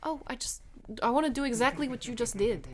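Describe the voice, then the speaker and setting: A woman's voice: on the high side, fairly quick, a little mellow, a little hiss or hum. A woman player chatting casually at a tabletop role-playing game.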